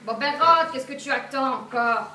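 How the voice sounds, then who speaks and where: A woman's voice: high voice, moderate pace, pushed and bright. A teenage girl playing a fussy, impatient old grandmother in a school play. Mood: wary. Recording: echoey hall from far off, noisy.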